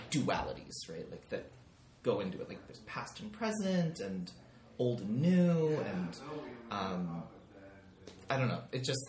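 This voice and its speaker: A man's voice: fairly low pitch, roomy sound. A man guesting on a podcast, chatting casually with many likes and ums, recorded in a living room. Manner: rambling, lots of likes and ums. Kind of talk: casual chat.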